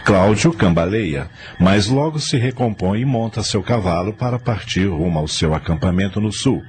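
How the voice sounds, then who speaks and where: A man's voice: on the low side, lively intonation, normal speed. A man narrating a scripted radio drama in a dramatic storytelling style. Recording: radio drama with music underneath.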